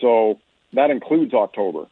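Over a narrow, phone-like radio line, a man with a medium-pitched voice gives sports analysis as a guest. He speaks conversationally and explains his points in a measured way. The sound is dry, with no room echo. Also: lively intonation, husky.

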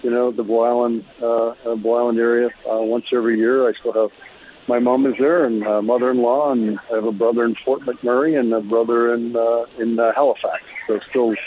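A middle-aged man with a Canadian accent and a husky voice, reminiscing casually as an interview guest and former hockey player. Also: medium pitch, flat delivery, moderate pace, slight background noise. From Alberta, Canada. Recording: dull phone-like lo-fi sound.

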